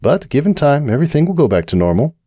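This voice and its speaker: A man voicing a stallion bartender pony who speaks quietly, low and level. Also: very animated, quick pace, dry recording. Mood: soothing.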